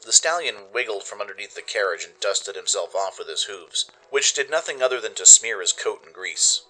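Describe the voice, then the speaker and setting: A man giving an expressive, theatrical scripted narration as the narrator of a radio play. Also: fairly low pitch, fairly quick, low-quality recording.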